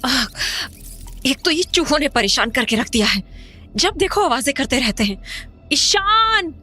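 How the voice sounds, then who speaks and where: Horror audio drama lead female actor: easily alarmed young mother, high voice, emotional and pressing. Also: sing-song, a little slow, sharp tone, faint music behind, full character voice. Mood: searching, worried. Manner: urgent, emotional.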